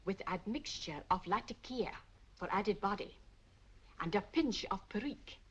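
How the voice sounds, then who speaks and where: A woman's voice: medium pitch, animated, normal speed, slightly rough, highs cut off. A woman playing a fussy, precise tobacconist in an old radio drama, prim and proud of every detail. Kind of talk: 1940s radio acting.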